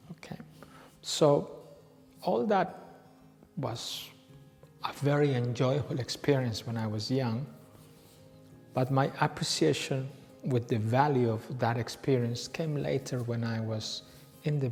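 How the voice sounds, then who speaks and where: A man in his forties, originally from Peru, a yoga teacher telling his story in a slow, reflective way with frequent pauses. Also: medium pitch, creaky. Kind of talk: personal storytelling. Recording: reverberant room with soft background music.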